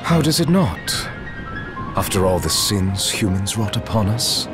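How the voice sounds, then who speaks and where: An actor playing a bitter, grandiose villain in a video game, theatrical and menacing in delivery. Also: male voice, fairly low pitch, sing-song, measured pace, music in the background. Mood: mocking. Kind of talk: dramatic video game voice acting.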